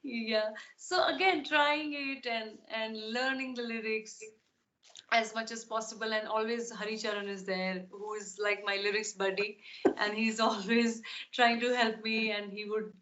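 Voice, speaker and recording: A woman's voice: fairly high pitch, fairly even intonation, unhurried, sharp tone, highs cut off. A woman singer being interviewed, answering in an earnest, thoughtful way with many fillers and restarts. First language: not Tamil. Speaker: podcast guest.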